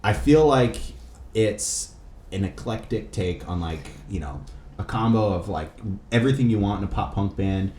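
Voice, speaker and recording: A man's voice: lowish voice, moderate pace, darkish tone. A man hosting a podcast interview in casual, rambling, slangy talk peppered with "like". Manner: rambling, slangy, heavy use of "like". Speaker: podcast host interviewing a band. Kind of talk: casual chat. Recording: echoey room with faint background noise.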